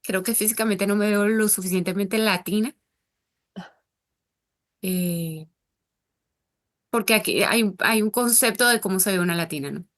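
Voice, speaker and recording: A woman's voice: medium pitch, a little slow, long pauses. A Colombian woman speaking as an interview guest, earnest and reflective as she talks. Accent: Colombian Spanish. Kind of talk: casual interview conversation.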